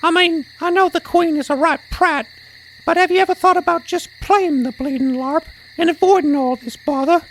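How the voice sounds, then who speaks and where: An extremely high-pitched, fretful feathered companion in a fantasy audio drama, talking in British slang. The loyal little creature sounds anxious, over faint night ambience with crickets and an owl. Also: female voice, moderate pace, slight background noise, low-quality recording. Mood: worried.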